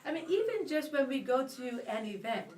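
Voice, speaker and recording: A woman's voice: high voice, sing-song, fairly quick, darkish tone. A woman co-hosting a live radio talk show, chatting and asking long, rambling questions and laughing easily. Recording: roomy and distant from the mic.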